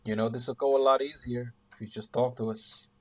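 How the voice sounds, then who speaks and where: A man's voice: mid-range voice, steady tone, moderate pace, a little mellow, lightly acted. A man playing a polite, hesitant police officer in a radio play. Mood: measured, hesitant.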